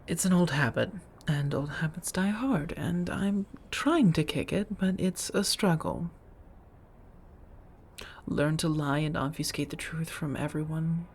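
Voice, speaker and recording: A centuries-old female vampire played by a woman, her voice low and soft. She speaks in measured, formal phrases, gentle and affectionate but guarded, as in an intimate ASMR roleplay. There is noticeable noise in the recording. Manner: measured, formal wording with endearments, hesitant confessions.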